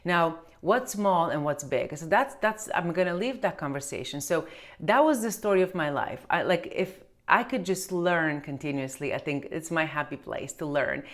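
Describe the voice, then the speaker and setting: Low-pitched woman in her 40s, chatty, lots of ums and restarts. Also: lively intonation, normal speed. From Romania. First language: Romanian. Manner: chatty, many fillers and restarts. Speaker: accountant and business owner. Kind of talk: casual chat to camera.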